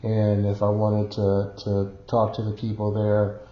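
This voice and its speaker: Halting low-voiced man in a roomy recording. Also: monotone. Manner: halting, hesitant, improvised explaining. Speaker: electronics hobbyist demonstrating equipment. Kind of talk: unscripted technical demonstration. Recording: roomy echo, dull muffled sound.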